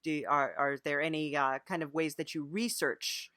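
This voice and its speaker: A podcast interviewer improvising a mock interview in a deadpan, sympathetic and hesitant manner. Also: medium pitch, normal speed, no echo, clean studio sound.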